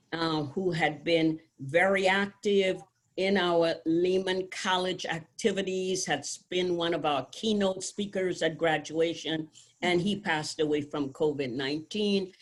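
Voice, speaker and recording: A woman nursing professor and department chair giving formal opening remarks in a measured, solemn way over a video call. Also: fairly low pitch.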